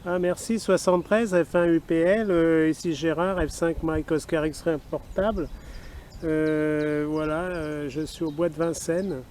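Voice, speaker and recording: A man with a fairly high, very mellow voice chats casually as he films a radio outing. He spells out call signs deliberately. He is outdoors, with faint natural background noise. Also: steady tone. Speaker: amateur radio operator filming an outing. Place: outdoors in a wooded park.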